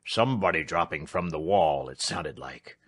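A man's voice: very deep voice, expressive, normal speed, breathy edge, pitched below the performer's own voice, full character voice. A man voicing a hearty, good-natured but self-important manservant in an audiobook, bluff and patronising. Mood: alert, bluff.

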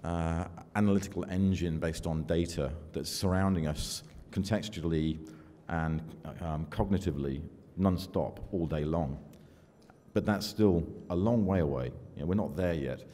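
An older man delivers a keynote from a podium in a large hall, his voice low and mellow. He speaks in a measured, self-deprecating way, pausing on fillers, and the room adds a long reverb over a faint hum of ambience. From United Kingdom. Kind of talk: conference keynote talk. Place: large conference hall with audience. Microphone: podium microphone.

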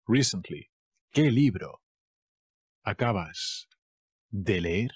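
Castilian spanish guy teaching phrases, super slow, says each one over again, mellow husky voice. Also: on the low side, no room sound, clean studio sound. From Spain. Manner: says each phrase slowly and repeats it with variants. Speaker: language teacher. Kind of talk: language lesson.

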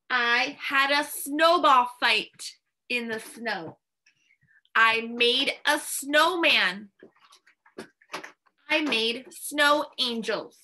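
A woman in her thirties teaching a video lesson to young students, speaking in simple, deliberate phrases into a computer microphone indoors. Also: a little high, bright, forceful tone, rough recording. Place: small indoor classroom or home teaching room. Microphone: computer webcam at desk distance.

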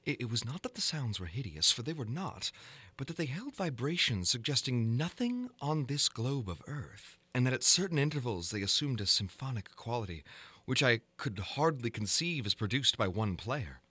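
Bright, forceful male horror narrator. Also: medium pitch, sing-song, husky, dry recording. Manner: theatrical, deliberate, ornate literary delivery. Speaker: narrator, audiobook reader. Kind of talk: dramatic reading of a gothic horror story.

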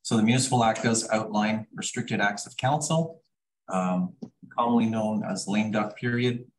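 Low-voiced Canadian official presenting. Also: male voice, normal speed, roomy sound, a crowd in the background, rough recording. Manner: formal, procedural, reads from a report. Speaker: chief administrative officer presenting reports. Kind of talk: formal report presentation.

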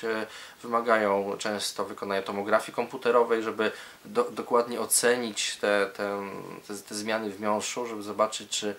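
A man's voice: on the low side, fairly bright tone, slight background noise. A man speaking as a medical specialist in an interview, explaining hesitantly and often repeating words as he searches for phrasing.

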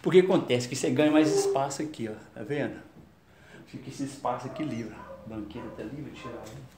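A man's voice: medium pitch, brisk. A man in his fifties with a Brazilian Portuguese accent, chatting casually to viewers while doing a home repair job, filmed close on a phone in a small kitchen. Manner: chatty, talks to viewers mid-task. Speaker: home DIY vlogger. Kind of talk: casual how-to narration while working. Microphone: handheld phone camera, close. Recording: echoey tiled room, faint noise.

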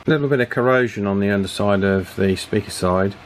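A man giving a hands-on repair tutorial at a workbench in an informal, matter-of-fact way with hesitant pauses. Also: on the low side, a little hiss or hum. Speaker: radio repair technician and presenter. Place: indoors at an electronics workbench.

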